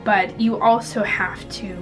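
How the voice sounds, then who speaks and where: A woman's voice: medium pitch, steady tone, a little slow, music in the background, poor audio. A woman, chatting casually to the camera and giving tips in a rambling, giggly way. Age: 20s. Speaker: vlogger giving interview tips. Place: small indoor room at home.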